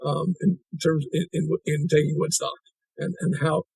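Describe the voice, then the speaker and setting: A man's voice: fairly high pitch, level tone, normal speed, vocal fry, darkish tone, slightly rough, no echo, rough recording. A male interviewer asking probing questions, often restarting his sentences. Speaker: interviewer, host.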